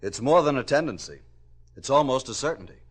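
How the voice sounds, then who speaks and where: Authoritative male American professor, vintage radio drama, mid-pitched, fast, emphatic delivery. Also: animated, husky. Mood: assured. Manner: brisk, emphatic, certain. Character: authoritative, self-assured male psychology professor. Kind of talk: 1950s radio drama acting.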